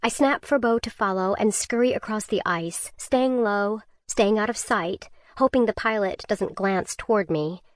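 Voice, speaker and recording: A woman narrates a survival thriller in first person, tense and measured. Her voice sits at a mid pitch for a woman. The recording is dry and close, with no room around her. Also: no echo. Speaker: audiobook narrator.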